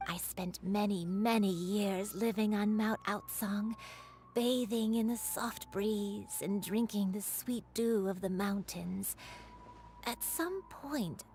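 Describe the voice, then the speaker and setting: A woman voicing a gentle, guilt-burdened old grandmother in a video game, speaking tenderly and formally like a storyteller. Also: medium pitch, takes it slowly, a little hiss or hum. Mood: wistful. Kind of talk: dramatic video game voice acting.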